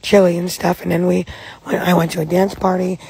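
A young woman doing a casual, plainly told vlog voice-over with a husky voice. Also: on the low side, flat delivery, a little fast, a little mellow. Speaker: vlogger. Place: voice-over, partly recorded outside.

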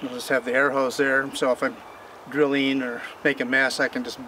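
A man's voice: medium pitch, flat delivery, moderate pace, sharp tone. An older man hosting a homesteading channel, casually explaining chainsaw repair in a folksy, rambling, plain-spoken way while working in his backyard. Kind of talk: casual how-to demonstration. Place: outdoor backyard workspace. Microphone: camera mic from a distance. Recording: distant outdoor mic with noise.

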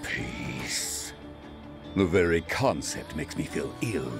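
An actor playing a towering, stern demon king in a video game, deep and gravelly, slow and commanding. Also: lively intonation, exaggerated voice. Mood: disdainful. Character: towering demon king, stern, imperious, grudgingly fair father. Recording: music behind the voice.

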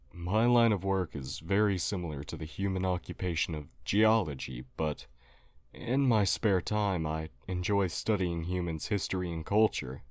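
Man acting as gentle-mannered alien geologist, very low voice, formal, halting, apologetic, dry close sound, audio roleplay. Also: no echo, natural voice. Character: polite, apologetic humanoid alien geologist, earnest and nerdy.

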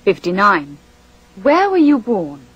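A woman reading a scripted language course drill, slowly and with careful enunciation. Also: medium pitch, huge pitch swings, breathy edge, no echo, lo-fi sound. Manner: slow, deliberate, carefully enunciated.

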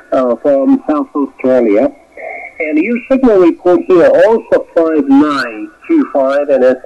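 A man with a Japanese accent making a polite, halting amateur radio contact, heard over shortwave. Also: mid-range voice, mellow. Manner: halting, polite, formal courtesies. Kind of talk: radio contact chat, phonetic spelling. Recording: dull, noisy shortwave radio reception.

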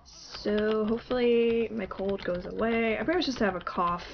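A woman's voice: medium pitch, moderate pace, vocal fry, muffled recording, a little hiss or hum. A woman with a cold and a congested voice, chatting casually and laughing at herself while she plays. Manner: chatty, laughing, self-deprecating. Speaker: gaming YouTuber. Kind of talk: casual gameplay commentary. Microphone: desktop mic, no webcam.

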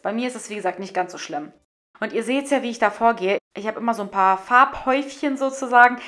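A woman's voice: mid-range voice, a bit bright. A woman in her thirties narrating her household routine in a chatty voice-over, explaining each step and repeating words for emphasis. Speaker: family vlogger.

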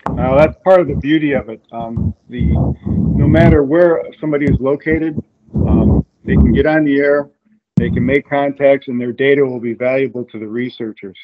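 A man's voice: mid-range voice, steady tone, normal speed. American man on a phone-like call. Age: middle-aged. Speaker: interview guest, amateur radio event coordinator. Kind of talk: casual explanatory interview answers. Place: room at home, indoors. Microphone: video call with earbuds. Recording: narrow, phone-like video call audio.

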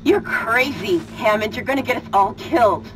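An actress playing an anxious, sharp-tongued female technician in a science-fiction horror game, blunt and tense over noisy audio. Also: a little high, moderate pace, natural voice. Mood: bitter. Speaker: technical specialist. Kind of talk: video game dramatic acting.

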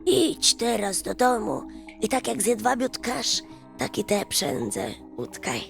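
A woman voicing a patient, wise old male prisoner in a storybook, speaking in a slow, emphatic, creaky way. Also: fairly high pitch, sing-song, voice pushed up, full character voice. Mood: gentle, instructing. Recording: music behind the voice.

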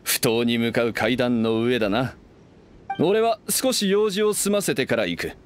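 Man playing an easygoing young merchant, lively intonation. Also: medium pitch, measured pace, slight background noise, natural voice. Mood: friendly, proud. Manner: casual, easygoing masculine speech. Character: friendly self-made young male merchant, nostalgic and proud. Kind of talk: Japanese anime game voice acting.

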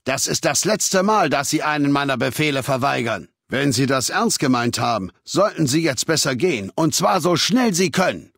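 An actor playing a cold, arrogant warlord dictator in a video game, speaking with condescending, menacing authority. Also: male voice, on the high side, animated, normal speed, gravelly, few pauses, fairly bright tone, husky, dry recording, exaggerated voice. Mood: cold, threatening. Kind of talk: video game dialogue acting. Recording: dry studio recording.

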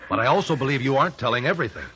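An actor playing a composed gentleman lawyer, measured and formal, in a 1950s radio drama. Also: medium pitch, expressive, slightly rough, exaggerated voice. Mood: pressing. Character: probing, composed gentleman lawyer questioning witnesses. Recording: faintly noisy old radio recording.

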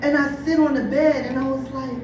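A woman's voice: sits high, steady tone, brisk, a little mellow, muffled recording. A woman in her forties from New Jersey doing a bawdy stand-up set, often asking "you know what I mean?". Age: 40s. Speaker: stand-up comic. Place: brewery taproom with live audience. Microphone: filmed from the crowd by a far camera. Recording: echoey room, from far off, crowd noise.

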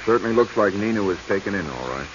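A man's voice: lowish voice, expressive, fast talker, close to an everyday voice. An actor playing a wry, easygoing man on a treasure-diving crew in a 1940s radio drama. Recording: muffled, noisy old radio recording.

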